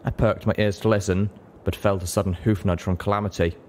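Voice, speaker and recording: A man's voice: lowish voice, steady tone, brisk, a little mellow, slight background noise. A man reading scripted story narration, in the manner of an audiobook. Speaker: audiobook-style reader, show host.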